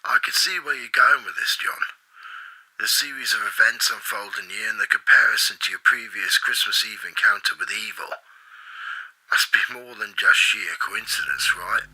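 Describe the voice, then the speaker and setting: A man plays a probing, supportive confidant in a radio drama. His voice is low but very bright and forceful, measured as he presses for the truth. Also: low-quality recording. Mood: concerned. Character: probing, supportive male confidant hearing a troubled friend. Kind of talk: radio play acting.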